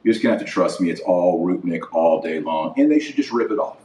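A man's voice: deep voice, expressive, quick pace, mellow. A man hosting an informal opinion podcast, speaking in a blunt, indignant way and repeating phrases for emphasis. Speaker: podcast host. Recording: roomy echo, distant from the mic.

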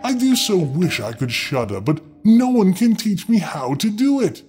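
A man's voice: high-pitched, big pitch swings, normal speed, a little mellow, faint music behind. A man voicing a fearless, simple young man in a storybook tale, earnest and wistful, with archaic phrasing.

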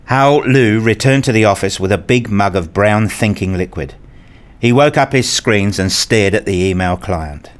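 A man playing an earnest, dryly sarcastic university researcher in a scripted radio play, with a deadpan, formal way of talking. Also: lowish voice, moderate pace, lightly acted. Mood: reflective. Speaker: narrator and lead actor in a radio play. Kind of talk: first-person scripted radio play narration and dialogue.

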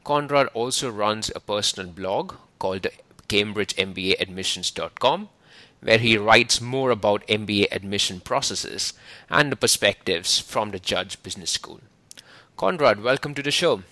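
A man's voice: lowish voice, normal speed, pushed and bright, no echo. A man hosting an interview podcast in an upbeat way, often laughing. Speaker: podcast host. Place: lives in London.

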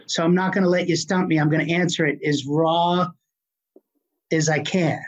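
A middle-aged speaker with a Boston accent, answering an interview question in a confident, forceful, passionate way. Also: mid-range voice, steady tone, moderate pace. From Boston, USA. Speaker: guest, health advocate. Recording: dull lo-fi recording.

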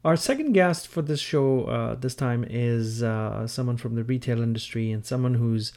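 A man hosting a podcast in a conversational way, introducing guests with a halting, hesitant delivery full of pauses. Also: mid-range voice, darkish tone. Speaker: podcast host and interviewer.

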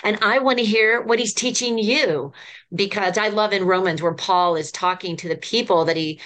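A woman's voice: mid-range voice, moderate pace, fairly bright tone. A woman in her fifties, a children's ministry professor, telling stories in an earnest, enthusiastic way as a podcast guest. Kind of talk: podcast conversation.

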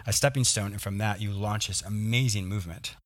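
A man's voice: lowish voice, fairly quick, no room sound, studio quality. A man hosting an interview show, moving between scripted introductions and conversational questions. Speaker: podcast host and interviewer.

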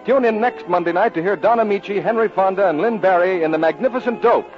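A male announcer delivers scripted copy in classic 1940s radio style. His voice is on the higher side. The broadcast sounds dull and old, with music playing softly behind him. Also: fast talker.

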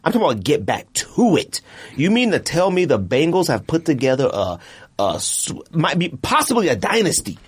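A man's voice: on the high side, huge pitch swings, normal speed, husky. An American man hosting a casual sports and sneaker podcast, speaking bluntly in slang and repeating himself for emphasis. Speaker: podcast host.

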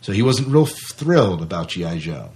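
A man's voice: low voice, sing-song, fairly quick, a little mellow. A man co-hosting a podcast, talking casually about films and reading out reviews. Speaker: podcast co-host.

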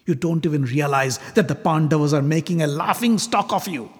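A man voicing an arrogant, furious king who hurls scornful insults and shouts in defiance. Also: on the high side, a little fast, lightly acted. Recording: long hall reverb.

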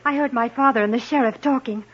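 An actress playing a gentle, earnest young noblewoman, hesitant and refined, in an old radio drama. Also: high voice, fast talker, husky. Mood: worried, earnest. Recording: muffled vintage radio recording.

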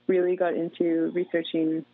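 A woman's voice: lowish voice, level tone, normal speed, no room sound. Chatty Canadian-raised woman on a phone-like line. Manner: chatty, many fillers like "you know" and "like". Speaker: guest, dancer and PhD student. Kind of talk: casual interview answers. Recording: tinny phone-like line, dry.

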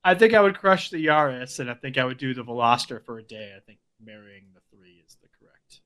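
Dry-humored man with a lively voice. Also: mid-range voice, brisk, clear, resonant tone. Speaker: podcast co-host. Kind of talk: casual podcast chat.

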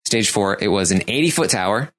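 A man's voice: lowish voice, lively intonation, brisk, a bit bright. A man co-hosting a casual podcast, talking things through with dry, teasing humor. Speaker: podcast co-host.

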